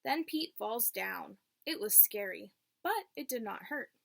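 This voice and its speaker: A woman's voice: mid-range voice, expressive, unhurried, gravelly, a bit bright, slight background noise. A woman reading a picture book aloud to young children, teacher-like, in simple phrases and checking in with questions.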